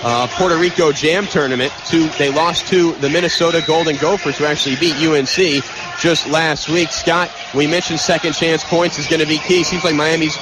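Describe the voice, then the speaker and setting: Man, radio sports announcer, higher-pitched voice, clipped play-by-play delivery, noisy arena broadcast. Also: fairly even intonation, fairly quick. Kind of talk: live basketball radio commentary. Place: live from a college basketball arena.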